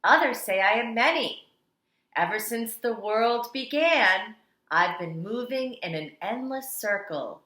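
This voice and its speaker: Bright-voiced woman teacher, slow and echoey. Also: on the high side, expressive. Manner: dramatic, deliberate delivery with strong swells and hushed endings. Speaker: kindergarten teacher. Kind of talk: expressive read-aloud of a picture book for young children. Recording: echoey, roomy sound.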